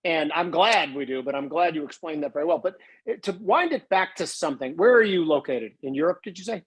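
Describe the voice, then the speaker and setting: A man with a fairly high voice co-hosts a casual podcast interview, joking and asking blunt questions. He rambles a little and laughs along with the talk. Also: animated, fast talker, husky. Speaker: podcast co-host.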